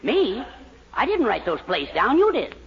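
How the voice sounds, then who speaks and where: Fussy, high-voiced timid little man. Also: wildly swooping pitch, unhurried, full character voice. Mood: dismayed. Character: fretful, timid, lovey-dovey henpecked little man. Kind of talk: 1940s radio sitcom acting. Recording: muffled 1940s radio recording, faint noise.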